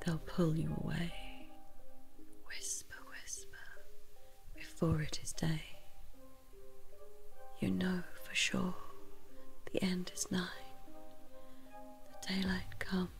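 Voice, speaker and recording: A woman voicing a vengeful witch spirit, chanting curses slowly in a breathy whisper, in ASMR horror storytelling style. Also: lowish voice, flat delivery, faint music behind. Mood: ominous. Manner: slow incantatory chanting.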